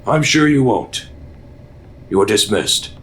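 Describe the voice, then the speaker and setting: An actor playing a stern, skeptical senior military commander, formal and authoritative, in an audio drama. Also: male voice, fairly low pitch, animated, slow pace, fairly bright tone, a little hiss or hum.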